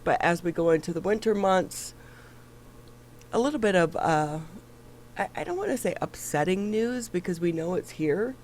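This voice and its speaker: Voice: mid-range voice, lively intonation, normal speed, a little mellow, a little hiss or hum. A talk show host with a stuffy nose, reading the news and chatting casually with a co-host, with lots of fillers and restarts. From Michigan.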